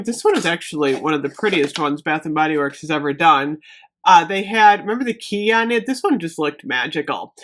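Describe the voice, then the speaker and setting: A woman chatting casually to viewers in a product review vlog, emphatic and thinking out loud as she goes. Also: medium pitch. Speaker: beauty product review vlogger.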